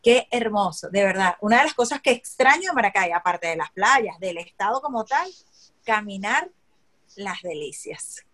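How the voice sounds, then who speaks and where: A woman with a Venezuelan accent chatting on a podcast in a lively, talkative way. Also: medium pitch, normal speed. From Acarigua and Barquisimeto, Venezuela. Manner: lively, chatty, laughs easily. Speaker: podcast co-host. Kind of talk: casual podcast chat.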